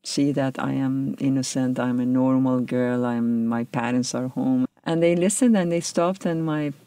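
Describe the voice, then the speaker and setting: A woman recounts her past in a reflective interview, often pausing to find her words. Her voice is gravelly and stays fairly level in pitch. The recording is dry and close. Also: steady tone, dark tone, no echo. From Iran. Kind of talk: recounting personal history.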